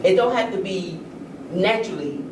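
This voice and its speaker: A woman's voice: mid-range voice, lively intonation, moderate pace. A woman speaking as an author guest on a discussion panel, earnest and emphatic. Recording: echoey room, distant mic, noisy.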